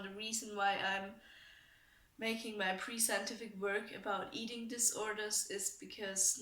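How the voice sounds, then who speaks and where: A woman's voice: mid-range voice, vocal fry. A seventeen-year-old woman from Austria with a German accent, talking casually to camera, hesitant, with lots of ums. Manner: hesitant, many ums, soft and a bit flat. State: a bit ill. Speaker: vlogger. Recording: echoey room, mic at a distance.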